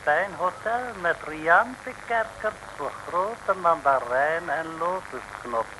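A man's voice: fairly high pitch, slow. An actor playing a sly, gloating Chinese henchman in a radio serial, with a mock Chinese accent and broken, flowery, mock-servile phrasing. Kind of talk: 1950s-60s radio serial acting. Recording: noisy old radio recording.

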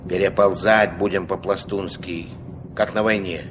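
An actor in a Soviet radio drama playing a gruff, commanding old riverboat captain who gives curt orders in folksy speech. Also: male voice, sits low, fairly even intonation, moderate pace, close to an everyday voice. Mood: grumbling, instructive. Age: older. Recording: noisy 1970s radio play recording.

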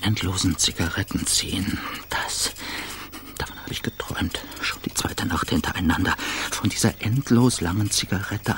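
Jittery man, low and gravelly. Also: bright, forceful tone. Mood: yearning, obsessive. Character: jittery, obsessive man craving a cigarette. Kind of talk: radio play interior monologue.

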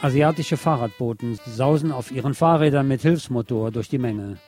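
Male late-night radio presenter, mid-range voice, reading literary monologue deliberately and poetically, with strong music bed. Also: mid-range voice. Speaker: radio host. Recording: music playing under the voice.

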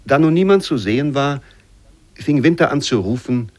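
A man reading literary narration for a radio play in a measured, carefully phrased storytelling manner. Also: medium pitch, animated.

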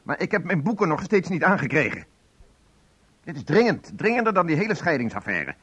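A man's voice: on the high side, big pitch swings, brisk, a bit bright, breathy edge, heavily acted. An indignant, insistent bookish man. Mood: indignant, urgent. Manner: insistent, aggrieved. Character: agitated divorcing man, bookish, obsessed with his work. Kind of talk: Dutch radio play acting.

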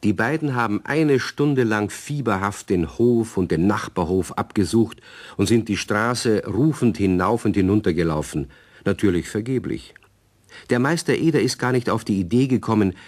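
An actor as the narrator of a children's radio play, telling the story in scripted storybook style. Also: male voice, fairly low pitch.

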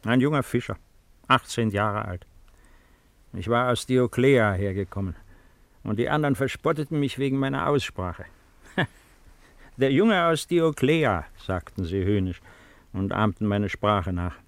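Slow-spoken, brooding old emperor. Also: male voice, on the low side, lively intonation, no echo. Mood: wistful, bitter. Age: older. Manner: slow, musing, reflective. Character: old emperor, former fisherman and soldier, brooding and wistful. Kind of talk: 1950s German radio drama acting.

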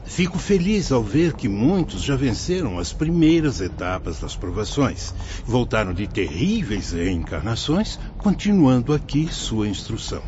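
Guy acting as a kindly solemn spirit guide, very expressive pitch, dull noisy radio drama sound. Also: unhurried, few pauses. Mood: solemn, instructive. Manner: solemn, patient, didactic, formal. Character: benevolent male spirit guide at a fortress watchtower.

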